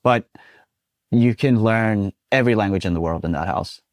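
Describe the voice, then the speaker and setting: A young Swedish man with a fairly low voice talks in a relaxed, chatty way, full of "you know"s. His voice is close and dry, with no room sound at all. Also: normal speed, no room sound. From Sweden. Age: 20s. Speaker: guest. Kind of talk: casual interview.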